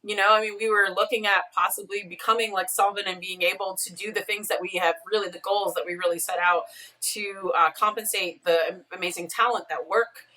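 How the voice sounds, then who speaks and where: Female podcast creator as interview guest: mid-pitched, conversational and rambling, recorded at some distance over a video call. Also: steady tone, a little fast, pushed and bright. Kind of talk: casual interview chat. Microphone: video call, away from the mic.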